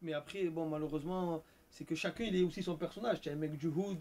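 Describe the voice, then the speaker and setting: A man with a high-pitched voice joins a livestream chat. He jokes and banters in street slang, quick with a tease. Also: fairly even intonation, darkish tone. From Algeria. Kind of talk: casual chat.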